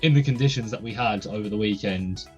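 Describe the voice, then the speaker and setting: A man's voice: on the low side, quick pace, husky, dull audio, soft background music. A young man hosting a casual podcast, chatty and full of ums and uhs. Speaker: podcast host.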